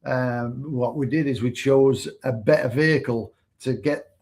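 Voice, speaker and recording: A man's voice: medium pitch, steady tone, unhurried, darkish tone. Laid-back man co-hosting a chat. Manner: laid-back, conversational, adds to her points. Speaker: co-host. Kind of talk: casual livestream chat.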